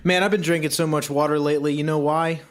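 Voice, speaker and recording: A young man co-hosting a podcast in casual comedic chat, joking, swearing and breaking into loud outbursts. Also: a little high, fairly even intonation, fast talker. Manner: jokey, swears a lot, bursts into loud outbursts. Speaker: podcast co-host.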